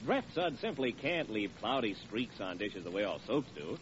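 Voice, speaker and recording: A man's voice: fairly low pitch, big pitch swings, moderate pace. A man as a 1940s radio commercial spokesman, explaining in an assured sales manner. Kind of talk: 1940s radio advertising pitch. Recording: muffled, faintly hissy vintage radio.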